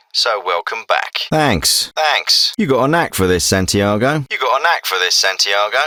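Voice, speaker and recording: An actor playing a businesslike special forces commander in a military video game, speaking in a clipped, authoritative, terse way. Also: male voice, medium pitch, bright, forceful tone, dry recording, studio quality. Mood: dry, approving. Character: gruff, businesslike male special forces commander briefing an operative.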